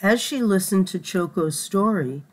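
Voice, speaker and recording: Female children's storyteller, slow read-aloud delivery, medium pitch. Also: lively intonation. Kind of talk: slow scripted picture-book narration.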